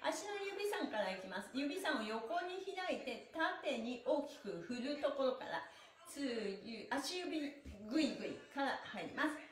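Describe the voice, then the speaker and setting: A woman's voice: high voice, big pitch swings, measured pace. A middle-aged woman leading a stretching session for viewers in an upbeat, chatty, polite and exclamatory manner. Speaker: stretching instructor and live-stream host. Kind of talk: cheerful exercise instruction to viewers. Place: small indoor room with bare walls. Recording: roomy, echoey small-room sound.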